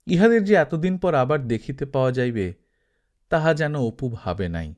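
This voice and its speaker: A man narrates literary fiction at a mid-range pitch. His delivery is measured and expressive, suited to formal, classic prose read as an audiobook.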